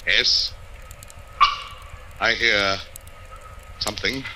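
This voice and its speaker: An actor playing a desperate condemned prisoner in theatrical, intense 1940s radio horror style. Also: male voice, fairly low pitch, steady tone, extremely slow, background noise. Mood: hushed dread. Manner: theatrical, intense dramatic delivery. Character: condemned prisoner captain, desperate, tormented, wavering between dread and resolve. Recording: old radio broadcast with music behind.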